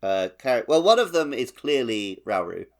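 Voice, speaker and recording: A man's voice: medium pitch, very animated, moderate pace. A man co-hosting a podcast, discussing a game casually and explaining things informally with loose, conversational phrasing. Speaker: podcast co-host.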